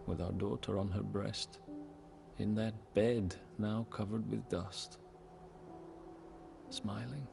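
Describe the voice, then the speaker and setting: An actor playing a solemn, grieving widowed medieval king, narrating his story in a game cutscene with grave, measured pauses. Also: lowish voice, dark tone. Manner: grave, measured, pausing, often breathy at phrase ends. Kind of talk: dramatic first-person storybook game narration.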